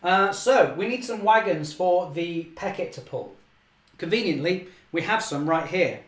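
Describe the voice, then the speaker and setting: A young man, slightly hoarse, chatting cheerfully through a live model railway session and correcting himself often. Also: high voice, expressive, a little slow, fairly bright tone, reverberant. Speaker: model railway channel host. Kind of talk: live-stream running commentary.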